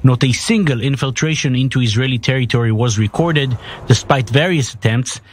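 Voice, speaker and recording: A man's voice: medium pitch, brisk. A man reading a scripted news report as a narrator.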